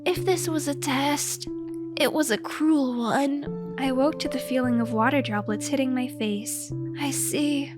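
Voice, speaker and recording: A woman's voice: sits high, a little slow, over loud music. A woman narrating a comedic diary story in a theatrical, mock-heroic, scripted style.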